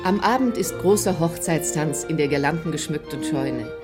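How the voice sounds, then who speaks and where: A woman's voice: low-pitched, moderate pace. A woman narrator reading scripted literary narration for a drama. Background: music.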